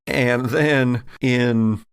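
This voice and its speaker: A man with a creaky, gravelly voice hosts a tabletop game podcast. He takes his time, leaning theatrically into certain words. The sound is dry, with very little room around him. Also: medium pitch, steady tone, drawn-out words, no room sound. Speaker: podcast host and game master. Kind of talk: hosting and narrating an actual play audio drama.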